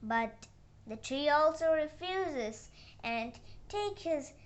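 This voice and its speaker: A child narrating a moral fairy tale with halting, uneven phrasing and dramatic emphasis on the dialogue. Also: high voice, animated. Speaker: storyteller.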